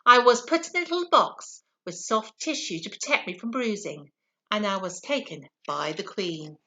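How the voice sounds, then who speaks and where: A woman voicing a small, earnest pea that tells its own story in an expressive, confiding way for children. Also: mid-range voice, normal speed. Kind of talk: first-person children's story reading.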